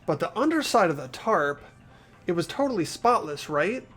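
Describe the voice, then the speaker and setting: A man plays an earnest, anxious teenage boy in a mystery game. The voice sits high and swings widely as he questions and reasons, with music playing behind him. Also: very animated, normal speed, fairly bright tone, breathy edge, full character voice. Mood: reasoning. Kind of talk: fan-voiced visual novel game dialogue.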